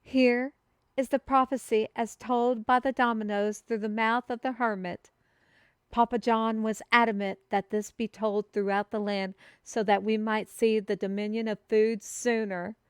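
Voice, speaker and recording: Theatrical, high-voiced young fantasy messenger woman, acted. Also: little pitch movement, a little slow, clear, resonant tone, dry recording, studio quality, close to an everyday voice. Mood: earnest. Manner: theatrical, proclaiming, old-fashioned formal wording. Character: excitable, earnest female kingdom messenger bearing a food prophecy. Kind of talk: fantasy audio roleplay, speaking to one listener.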